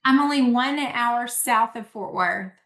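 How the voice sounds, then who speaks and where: A woman's voice: a little high, unhurried, a bit bright. A woman hosting an interview, asking questions in a friendly, hesitant way. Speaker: podcast host. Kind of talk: conversational interview. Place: Central Texas. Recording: echoey room.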